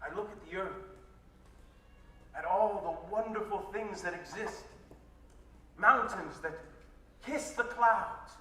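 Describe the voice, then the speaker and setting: A man performs a defiant revolutionary making a public speech in a staged story. The voice is high, earnest and emphatic, with grave rhetorical questions. It rings in a roomy space, slightly distant from the microphone. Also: slow, pauses often, sharp tone, a little hiss or hum, exaggerated voice. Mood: tender, reverent.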